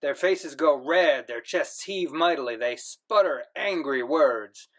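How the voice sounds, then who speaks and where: A man reading a novel aloud in a measured, earnest first-person voice. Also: on the high side. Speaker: audiobook reader.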